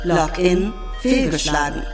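An unhurried female station computer delivers alerts in a level, low-set tone. Every word sounds measured and even, with music underneath. It suits a sci-fi radio play. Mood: alarm. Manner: flat, measured announcements. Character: calm female base computer issuing warnings.

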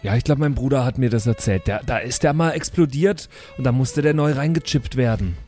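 A man's voice: medium pitch, fairly quick, dark tone. Man in improvised dialogue over music. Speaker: player in a role-playing podcast. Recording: music behind.

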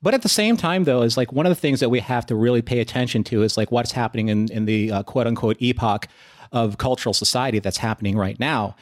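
Middle-aged male guest, medium-pitched, closely recorded and dry, deliberate and earnest with halting phrasing. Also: no room sound. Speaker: podcast guest. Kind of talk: reflective discussion.

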